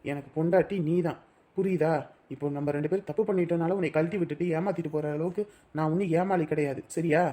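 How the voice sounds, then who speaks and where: A young man, as an actor plays him, reassures his partner in quick, forceful bursts. He is brash and protective, scolding her while promising to stand by her. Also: on the high side, steady tone, close to an everyday voice. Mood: reassuring. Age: young adult.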